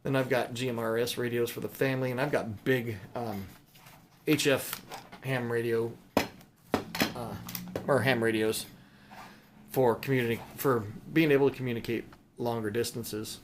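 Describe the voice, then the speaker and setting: A man with a medium-pitched voice talks informally, as if thinking aloud. He rambles casually through an unscripted hobby video, with some noise behind him. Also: fairly even intonation, normal speed, long pauses. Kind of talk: casual unscripted chat to viewers while showing items.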